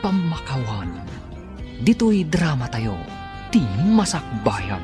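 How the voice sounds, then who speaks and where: A man with a medium-pitched voice narrates a radio drama. His scripted delivery is deliberate and dramatic, like a serial announcer, with music clearly playing behind him. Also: sing-song.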